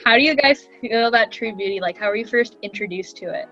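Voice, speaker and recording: A young woman's fairly high voice comes through a slightly dull recording. She co-hosts a casual chat with friends, playful and teasing, over faint music. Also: fast, bright, forceful tone. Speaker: podcast co-host.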